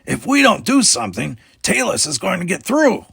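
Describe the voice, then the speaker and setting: A man's voice: high voice, wildly swooping pitch, moderate pace, vocal fry, a bit bright, breathy edge, no echo, studio quality, voice pushed up, exaggerated voice. A man voicing a frantic, worried young male alien engineer from a storybook, who speaks of himself in the third person. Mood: frantic, panicked.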